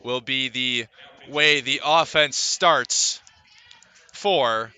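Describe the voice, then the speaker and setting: A man calling live baseball play-by-play for radio, mixing pitch-by-pitch description with conversational asides. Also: extremely slow, bright, forceful tone, clean tone. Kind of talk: live sports commentary.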